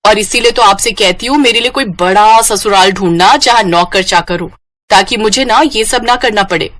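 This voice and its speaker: A woman's voice: medium pitch, moderate pace, a bit bright, no room sound, lightly acted. A woman voicing a lazy, whiny young bride in a moral-story cartoon, complaining and exclaiming to herself. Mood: exasperated, whiny.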